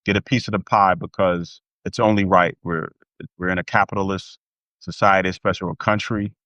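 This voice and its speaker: A man's voice: low voice, fairly even intonation, brisk, sharp tone, dry recording, dull audio. An American man speaking as an interview guest in an emphatic, conversational way, often acting out what people say. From United States. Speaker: interview guest, former pro athlete. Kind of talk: animated personal conversation.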